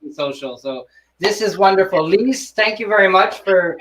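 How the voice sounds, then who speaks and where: A woman's voice: mid-range voice, expressive, normal speed. A woman hosting a casual live online show over a video call, rambling and stumbling a little over words. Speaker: host of an online social show. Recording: distant, faintly noisy video call.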